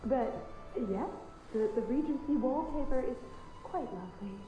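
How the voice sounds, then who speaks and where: An actress playing an awkward, flustered young elf in a video game, halting and stammering. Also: female voice, high-pitched, animated, roomy sound, music in the background, low-quality recording.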